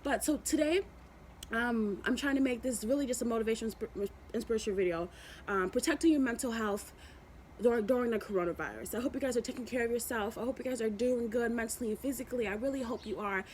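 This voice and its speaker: A woman's voice: on the high side, fast, a little mellow, slight background noise. A woman vlogging, chatting in a rambling, affectionate way, often repeating herself and restarting. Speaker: YouTube vlogger. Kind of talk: motivational vlog chat.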